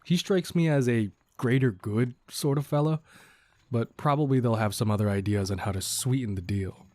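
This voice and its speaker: A man's voice: mid-range voice, moderate pace, dark tone, no room sound, lightly acted. A man playing a cocky but considerate bandit leader in an audio roleplay, talking to the listener in a casual, folksy, wryly mock-courteous way. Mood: wry, conspiratorial.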